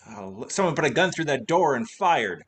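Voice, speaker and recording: A man's voice: mid-range voice, very animated, brisk, lightly acted. A man playing a cheerful, wisecracking former private detective in a radio play, dry and flippant. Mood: alert, unruffled.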